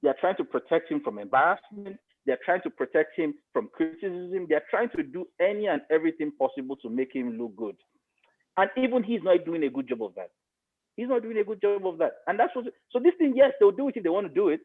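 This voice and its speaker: A man's voice: sits high, expressive, fast talker, phone-quality sound. A man with a Nigerian accent giving his political opinions emphatically, repeating phrases for stress.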